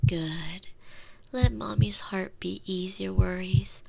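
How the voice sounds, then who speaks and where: A woman's voice: medium pitch, background noise. Woman acting as a soothing, tender girlfriend, ASMR bedtime roleplay. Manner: soothing, breathy near-whispers, lingering drawn-out phrases. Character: tender, protective girlfriend soothing her frightened lover to sleep. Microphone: close binaural microphone.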